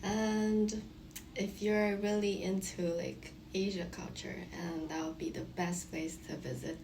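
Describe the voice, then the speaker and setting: Medium-pitched young woman from China chatting. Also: little pitch movement, a little slow. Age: young adult. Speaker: student guest. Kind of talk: casual chat. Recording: faint background noise, room ambience.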